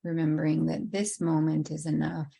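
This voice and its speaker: A woman leading a guided meditation in an unhurried, gently inviting way with long pauses. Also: deep voice, monotone, creaky, slightly rough.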